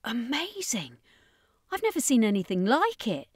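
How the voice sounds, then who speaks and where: A woman acts out an insistent, ruthless witch from an audiobook tale. Her voice sits high and presses hard, in a dry, close sound. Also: sing-song, moderate pace, breathy edge, dry recording. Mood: eager.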